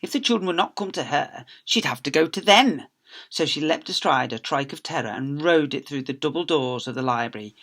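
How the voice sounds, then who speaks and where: Bright, forceful man reading a story aloud. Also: a little high, animated, fairly quick. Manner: theatrical emphasis with acted sound effects. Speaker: teacher reading aloud to schoolchildren. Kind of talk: animated storytelling.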